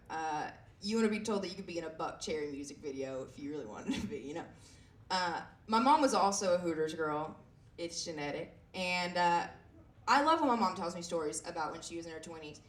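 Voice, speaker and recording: A woman in her twenties with a raspy voice, performing a self-deprecating stand-up set with lots of fillers and halting restarts. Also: fairly low pitch, lively intonation. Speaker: stand-up comedian. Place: comedy club stage. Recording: echoey room heard from a distance.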